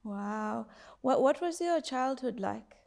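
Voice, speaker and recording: A woman's voice: sits high, lively intonation, takes it slowly, gravelly, darkish tone. A woman interviewer asking short, friendly questions to move the conversation along. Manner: friendly, brief prompting questions. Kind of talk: casual interview.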